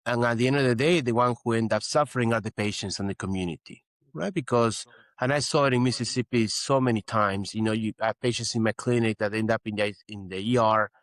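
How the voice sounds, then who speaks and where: A man's voice: on the low side, fairly quick, no room sound. A middle-aged man from Peru, a guest physician in an interview, explaining earnestly and often saying "you know". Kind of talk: interview conversation.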